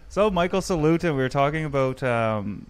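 A man in his thirties hosting a podcast in a studio full of aquariums, chatty and joking, a bit rambling, talking into a boom mic with headphones on. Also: expressive, fairly quick, a crowd in the background. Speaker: podcast host. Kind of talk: casual podcast chat. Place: home studio with aquariums, indoors.

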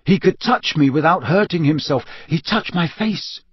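A man voicing an earnest, shaken teenage boy wizard who speaks in a halting, strained way. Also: a little high, lively intonation, slightly rough, no echo, muffled recording, voice pushed up.

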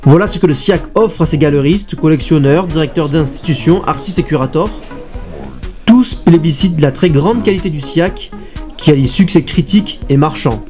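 A man reading a scripted narration for a report in an even, smooth delivery. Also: a little high, normal speed. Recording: music bed behind the voice.

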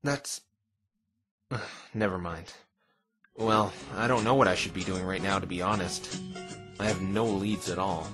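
A man playing an earnest, flustered young defense lawyer in an animated fan dub, hesitant and exasperated. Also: sits low, measured pace, long pauses, husky, soft background music, full character voice. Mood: dejected. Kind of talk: fan dub visual novel acting.

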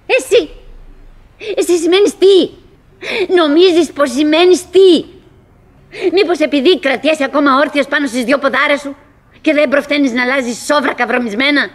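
An actress playing a chattering, wistful, nagging wife who clings to cheer, in halting, repetitive theatrical monologue for an absurdist radio play. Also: female voice, high-pitched, lightly acted. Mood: exasperated, scornful. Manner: halting, repetitive, theatrical, mimicking remembered dialogue.

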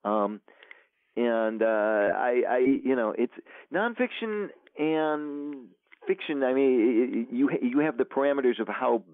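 A man's voice: medium pitch, lively intonation, measured pace, dry recording. A man, an author guest, chatting casually in an interview, rambling with lots of fillers and restarts. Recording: tinny phone line, dry.

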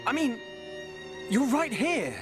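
A man's voice: very high-pitched, sing-song, slow, heavily acted. A young man playing an earnest, protective swordsman hero in a video game, speaking emotively. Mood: earnest resolve. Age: young adult. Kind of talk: video game cutscene voice acting. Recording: game soundtrack music behind.

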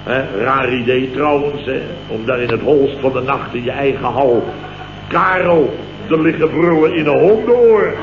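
An actor playing a grumbling, indignant boss with a Dutch accent, blustering sarcastically in 1970s radio comedy style. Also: male voice, medium pitch, normal speed, lightly acted. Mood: exasperated. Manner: blustering, exclamatory, sarcastic storytelling. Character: grumbling, indignant male boss recounting his mishaps. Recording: noisy, dull, roomy old radio recording.

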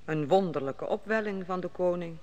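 A woman's voice: fairly low pitch, normal speed, darkish tone, in a large hall, lightly acted. An actress playing a skeptical queen who questions a prisoner in an old radio play. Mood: probing. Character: inquisitive Babylonian queen, probing, skeptical. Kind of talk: 1950s Dutch radio drama acting.

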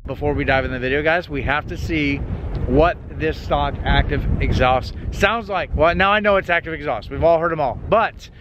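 Hoarse, hyped-up man outdoors in the wind. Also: normal speed, lo-fi sound. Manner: energetic, hyped-up pitch. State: slightly hoarse. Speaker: car channel host. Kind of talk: casual car vlog. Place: outdoors on an open paved lot. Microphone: camera held at arm's length. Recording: outdoor clip with loud wind noise. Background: wind noise.

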